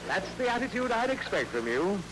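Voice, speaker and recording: A blustery, long-suffering father, lecturing in a lively voice that swings up and down. His voice sits on the high side, and an old radio broadcast layers crackle and hiss over it. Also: brisk, over a noisy crowd. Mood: exasperated. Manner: pompous, exasperated. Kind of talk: 1940s radio comedy acting.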